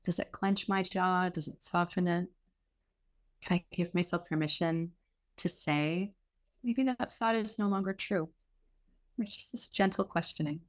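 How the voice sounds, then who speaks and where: Woman leading a guided meditation, slightly low voice, soothing and unhurried, short phrases w pauses. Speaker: meditation teacher. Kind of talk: guided meditation, gentle spoken instruction.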